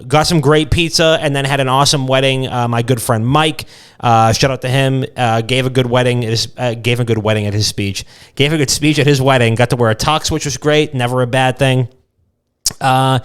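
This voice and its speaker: A man's voice: medium pitch, fairly even intonation, a little fast, clear, resonant tone. A young man co-hosting a podcast, telling casual, jokey stories with plenty of swearing. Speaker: podcast co-host. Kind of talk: casual comedic podcast banter and storytelling.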